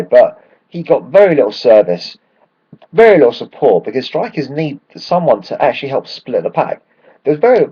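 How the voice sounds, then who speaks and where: A man, hoarse from shouting at a match, ranting excitedly and swearing freely in a casual football vlog. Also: medium pitch, dull audio. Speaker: football fan vlogger.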